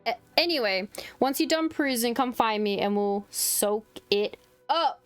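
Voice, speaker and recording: Brief: female game streamer, high clear voice, slow casual read-aloud with informal asides, dry mic. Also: animated, dry recording, faint music behind. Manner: informal, reacts and mutters between read lines. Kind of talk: casual livestream play, reading dialogue aloud.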